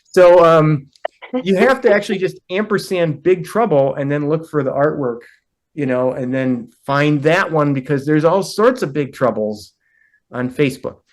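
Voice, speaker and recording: A man in his fifties, a podcast guest, chatting casually and rambling with self-deprecating asides. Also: moderate pace. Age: 50s. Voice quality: deep voice. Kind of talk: casual chat.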